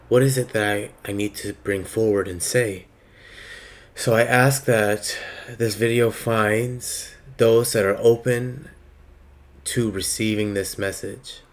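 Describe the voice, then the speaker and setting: A man with a slightly raspy voice giving a slow, reflective spiritual monologue with many pauses. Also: on the low side. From Palestinian heritage. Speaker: spiritual reader.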